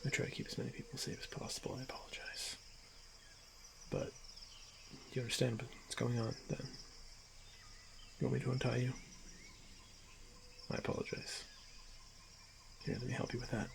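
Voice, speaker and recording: A stoic orc warrior, acted by a man in a fantasy ASMR roleplay, honor-bound and gently protective. His voice is very creaky and breathy, delivered in formal, halting phrases with frequent pauses. Clear night noise of crickets and insects sits behind him. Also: mid-range voice, level tone. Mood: apologetic, gentle. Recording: noisy track with night insect ambience. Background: crickets and insect ambience.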